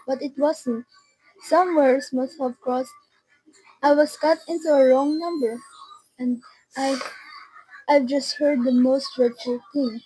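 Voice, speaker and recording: Horrified radio-drama housewife, played by a woman: very high voice, halting, pleading, agitated. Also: warm tone, poor audio. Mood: shaken.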